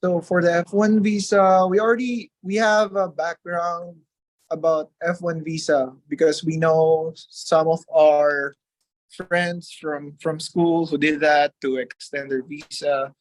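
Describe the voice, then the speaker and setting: High-voiced Filipino man, dry sound. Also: steady tone, slow, no echo, poor audio. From Philippines. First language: Tagalog. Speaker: interview guest, international student. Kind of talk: testimonial interview.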